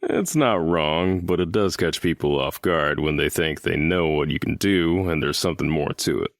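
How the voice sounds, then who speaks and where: A man playing a calm, level-headed young villain team leader in an audio drama, measured and easygoing. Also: extremely low pitch, no room sound, clean studio sound. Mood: calm, casual.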